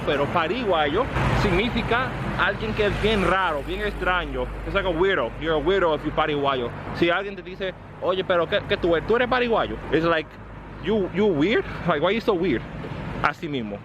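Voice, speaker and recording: A Dominican man with a high voice explains slang to the camera on a city street, friendly and mixing in English phrases. Heavy traffic noise surrounds him outdoors, and the pitch sits high for a man. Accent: Dominican Spanish. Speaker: street vlogger.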